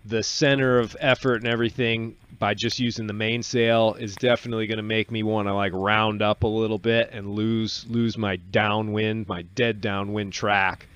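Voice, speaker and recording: A man in his forties giving a relaxed, casual voice-over on a sailing clip from a small cabin studio, talking into a desk mic. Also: lowish voice, normal speed, hardly any pauses, clean tone, highs cut off. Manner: relaxed, explanatory, with restarts. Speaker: solo sailor vlogger. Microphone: desk microphone on boom arm.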